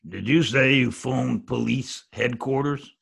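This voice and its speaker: A man playing a surly gangster boss in a 1940s radio play, curt and plodding, with a gravelly, husky voice. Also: medium pitch, measured pace, fairly bright tone, heavily acted. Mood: suspicious. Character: slow, surly adult male gangster boss.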